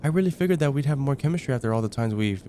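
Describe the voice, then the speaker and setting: A young man playing a casual heartbreaker friend confiding about dating in a conversational, hesitant ASMR roleplay, with sighs and trailing pauses. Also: medium pitch, lively intonation, mellow, a little hiss or hum, lightly acted. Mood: let down. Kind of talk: ASMR roleplay, acted one-sided conversation.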